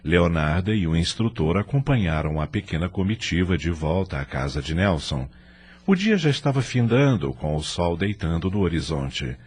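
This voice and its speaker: A man's voice: sits low, big pitch swings, normal speed, a little mellow, husky. A man narrating a scripted audio drama in a formal, literary storytelling manner.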